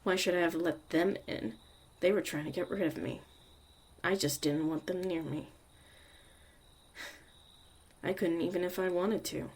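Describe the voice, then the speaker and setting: A woman playing a young witch mother, a tough, protective and caring survivor, in an intimate, halting, confiding ASMR roleplay monologue. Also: on the low side, fairly even intonation, a little mellow, breathy edge. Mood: bitter, wry.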